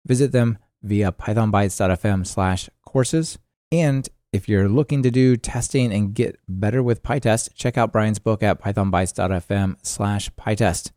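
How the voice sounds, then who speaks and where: A man's voice: lowish voice, measured pace, dark tone, no room sound, studio quality. A man hosting a podcast, talking casually about tech. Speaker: podcast host.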